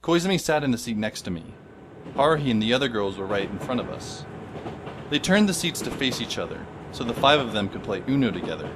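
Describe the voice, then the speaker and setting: A man's voice: medium pitch, big pitch swings, a little fast, background noise, close to an everyday voice. A man narrating as a wry teenage boy. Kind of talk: audio drama narration.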